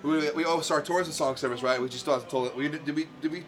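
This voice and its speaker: A young man co-hosting a casual stream, rambling and excitable with plenty of slang. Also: a little high, racing. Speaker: co-host of a streamed cooking show. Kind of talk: casual chat. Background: music playing.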